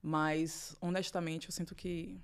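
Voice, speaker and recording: A middle-aged woman with a Bahian Brazilian accent, talking in a relaxed, chatty way as a podcast guest. Her voice sits a little low for a woman, and she often laughs and repeats her words for emphasis. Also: unhurried, vocal fry, smooth. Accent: Bahian Brazilian Portuguese. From Salvador, Bahia, Brazil. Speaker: guest, professional dancer. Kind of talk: casual podcast chat.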